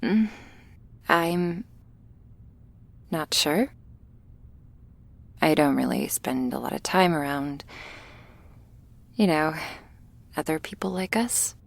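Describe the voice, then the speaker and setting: A woman playing a shy, awkward young female vampire in an intimate ASMR roleplay, speaking softly and hesitantly, often close to a whisper. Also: low-pitched, animated, vocal fry, exaggerated voice. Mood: awkward, musing. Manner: hesitant, halting, soft, often near a whisper.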